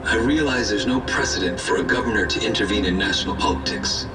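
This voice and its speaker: An actor playing an ambitious, smooth governor speaking formally and confidently on television in a video game. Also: male voice, lowish voice, quick pace, reverberant, far from the mic, over loud music, lightly acted. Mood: self-assured. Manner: formal, self-assured. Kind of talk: political interview in a game cutscene.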